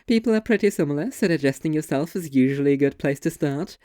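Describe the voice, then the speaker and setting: A performer playing a young woman in a scripted literary debate about ideas, speaking in an articulate, opinionated and deliberate way with a sardonic edge. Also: mid-range voice, a little mellow, dry recording, clean studio sound, natural voice. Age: young adult. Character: two young women debating art, intense and sardonic. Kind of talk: scripted literary dialogue, argumentative monologue delivery.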